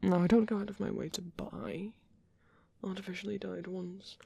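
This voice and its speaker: A man voicing a caring, gentle vampire priest in a whispery, breathy ASMR roleplay, speaking hesitantly and reassuringly. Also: sits high, level tone, moderate pace, no room sound, heavily acted. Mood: soft, caring. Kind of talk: soft-spoken ASMR roleplay.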